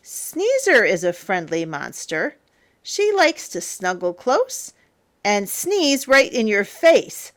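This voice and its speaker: A woman reading a children's picture book aloud as a storyteller, in a theatrical, playful, emphatic way with drawn-out words. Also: medium pitch, very animated, a bit bright. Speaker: storyteller, teacher.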